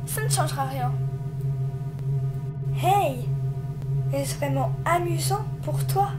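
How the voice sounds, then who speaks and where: A woman's voice: sits high, low-quality recording. A woman voicing a bitter, taunting demonic child spirit in a theatrical, intense comic dub. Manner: mocking, theatrical, emotionally intense. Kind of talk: amateur comic dub acting.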